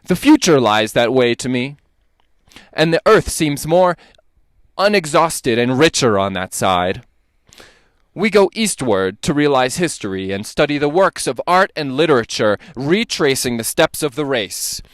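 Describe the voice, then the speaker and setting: A man performing a dramatic reading of an old lecture, with deliberate, emphatic, oratorical phrasing. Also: medium pitch, very animated, clean tone, no echo, studio quality. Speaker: dramatic reader.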